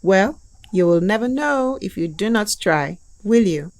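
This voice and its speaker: A woman voicing a hungry, scheming male tortoise in a children's cartoon, in a wheedling, drawn-out way. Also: mid-range voice, expressive, darkish tone, lightly acted. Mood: persuasive.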